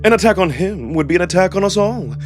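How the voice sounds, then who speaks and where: A man voicing a composed, courtly male jackal who enunciates slowly and politely with a smug edge in a visual novel drama. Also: high-pitched, over music, pitched above the performer's own voice. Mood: calm, smug. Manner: calm, polite, very slow enunciation.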